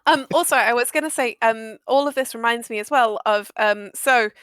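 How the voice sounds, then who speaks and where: A woman with a fairly high voice talks casually in a dry, close recording. She is opinionated and emphatic, rambling through her points and happy to swear. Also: brisk, fairly bright tone, clean tone, dry recording. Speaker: audio drama maker. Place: live online group stream.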